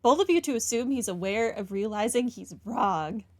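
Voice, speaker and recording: A woman's voice: fairly high pitch, expressive, normal speed, a little mellow. A woman hosting a game playthrough with casual commentary on the story. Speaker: gaming playthrough host.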